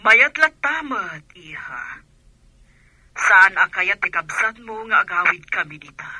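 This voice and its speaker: Radio drama role: middle-aged mother overseas, played by a woman, telephone voice, caring, piercing and raspy. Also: medium pitch, big pitch swings, a little slow, pushed and bright, dry recording, full character voice, poor audio.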